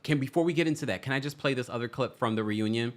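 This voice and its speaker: A man hosting a casual celebrity news stream, chatty and animated, with a deep voice and frequent laughs. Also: quick pace. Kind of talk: casual commentary livestream.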